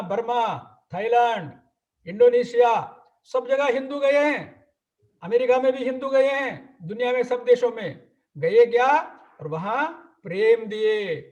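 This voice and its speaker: A man giving an ideological talk at a gathering, building his points through long repeated lists in a slow, emphatic cadence. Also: very high voice, darkish tone. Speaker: speaker at an organisation's gathering. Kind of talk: ideological lecture. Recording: dull lo-fi recording.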